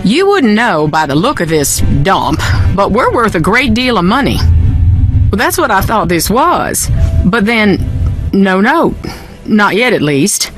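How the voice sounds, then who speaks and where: A woman's voice: medium pitch, sing-song, slow pace, rough recording. An actress playing a middle-aged, weary and bitter wealthy mother in a video game, with a folksy, wry, wounded manner. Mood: bitter, anguished. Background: faint music.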